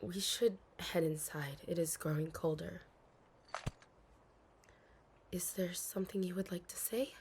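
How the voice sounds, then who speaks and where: A woman's voice: lowish voice, fairly even intonation, moderate pace, a little mellow, slight background noise, natural voice. A woman playing a dutiful, anxious young princess in an intimate audio roleplay, speaking formally with hesitations and sighs. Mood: resigned, guarded. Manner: formal storybook diction, hesitant, sighing.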